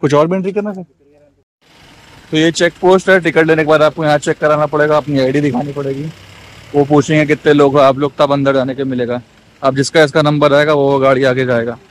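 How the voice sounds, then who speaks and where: A man's voice: on the high side, a little fast, slight background noise, poor audio. Chatty man vlogging from a bumpy jeep. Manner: chatty, excited, joking with companions. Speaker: travel vlogger. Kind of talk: casual travel vlog narration. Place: outdoors and in a jeep on a bumpy road.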